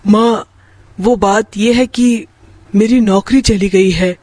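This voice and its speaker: A woman plays a mild young married son in a bedtime story, pitched below her own voice. He pleads and explains himself in a placating way. The sound is close and dry. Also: steady tone, takes it slowly, dark tone, dry recording. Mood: sheepish. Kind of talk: Hindi bedtime moral story dialogue.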